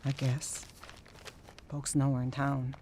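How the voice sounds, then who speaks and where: An actress playing a stubborn, sarcastic wife who needles and bickers in a naturalistic period radio drama. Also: female voice, rumbling low, little pitch movement, takes it slowly, mellow, slight background noise. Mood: resigned. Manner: sarcastic, blunt, teasing.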